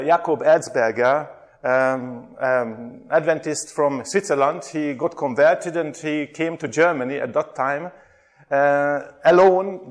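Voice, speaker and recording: Middle-aged Hungarian man, slightly raised voice, running an interactive workshop with lots of ums. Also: a little high. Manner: chatty, frequent hesitations, asks the audience questions. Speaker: workshop presenter, theology lecturer.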